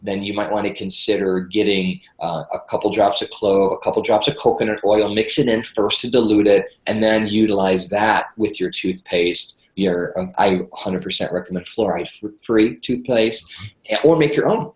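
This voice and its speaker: A man's voice: lowish voice, moderate pace, roomy sound, poor audio. A congested man from Michigan, a guest health expert chatting enthusiastically in a podcast interview. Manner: chatty, enthusiastic, repeats words when starting sentences.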